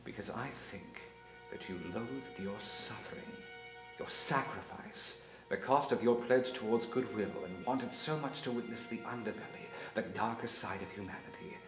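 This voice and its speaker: An actor playing a brilliant, intense detective who speaks in a formal, deliberate, theatrical way in film dialogue. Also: male voice, on the low side, close to an everyday voice. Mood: hushed, intense. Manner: formal, deliberate, expository, articulate. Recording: roomy echo under loud music.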